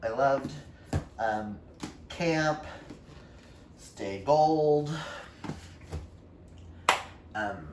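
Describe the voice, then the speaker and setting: A man's voice: medium pitch, lively intonation, drawn-out words, pauses often, a little mellow. A man talking casually and expressively to viewers in a book vlog, informal and given to emphatic exclamations. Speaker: book YouTuber. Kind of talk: casual chatty vlog talk to camera. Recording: roomy echo, distant mic, faint noise.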